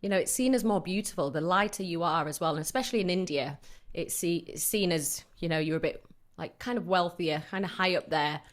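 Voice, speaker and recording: A woman's voice: lowish voice, fairly quick. A woman in her forties, a fitness coach, talking frankly and telling stories in a casual interview with easy laughter. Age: 40s. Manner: frank, lively storyteller who laughs easily.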